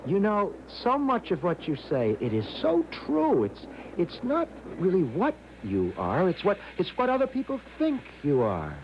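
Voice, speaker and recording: An anxious young innocent in an old radio play. His hesitant, stammering voice swings widely in pitch. The sound is dull and slightly noisy. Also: huge pitch swings, moderate pace, few pauses, exaggerated voice. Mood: hesitant, wistful. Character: timid, anxious, naive young man lacking confidence. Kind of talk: 1970s radio drama acting.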